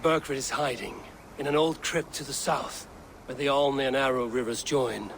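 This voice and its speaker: An actor playing a stern, dry-witted Viking warrior in a video game, speaking in a measured, deadpan, commanding way. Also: male voice, mid-range voice, a little hiss or hum, lightly acted. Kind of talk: video game cutscene acting.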